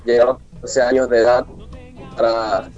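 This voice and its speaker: A man's voice: mid-range voice, level tone, unhurried, darkish tone. Young venezuelan guy being interviewed, earnest churchy way of talking, muffled audio w music behind. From Mérida, Venezuela. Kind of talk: radio interview, devotional testimony. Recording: dull lo-fi remote link, music behind.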